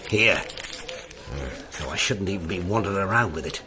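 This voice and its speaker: An actor playing a brisk police inspector in a radio drama, with clipped, emphatic, streetwise phrasing. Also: male voice, low voice, a crowd in the background, lightly acted. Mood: urgent, wary. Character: brisk male police detective inspector hunting a relic.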